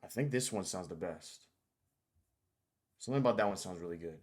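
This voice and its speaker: Low-pitched man, casual tutorial host. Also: expressive, brisk. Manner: informal, thinking aloud. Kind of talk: casual screen-share tutorial.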